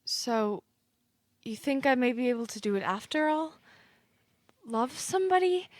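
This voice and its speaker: A woman's voice: sits high, lively intonation, unhurried, no echo, clean studio sound. A woman playing a lovelorn, stubborn, skeptical client in an audio play, with a dramatic, petulant manner and quaint mild oaths. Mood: fragile hope. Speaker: actor.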